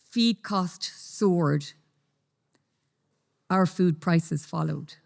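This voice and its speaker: A woman from Ireland with an Irish accent giving a lively, conversational conference talk. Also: medium pitch. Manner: lively, self-deprecating, conversational. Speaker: conference speaker. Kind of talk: conference presentation. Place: conference room.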